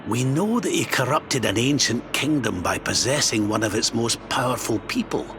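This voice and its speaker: A man's voice: medium pitch, lively intonation, moderate pace, noisy background, close to an everyday voice. A man playing a wry, practical adventurer in a video game, musing. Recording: game music behind the voice.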